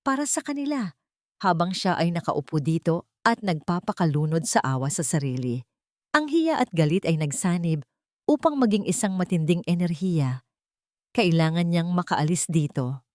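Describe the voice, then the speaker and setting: A woman reading a romantic drama story as narrator, in a dramatic, expressive scripted style. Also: fairly low pitch, no echo, clean studio sound.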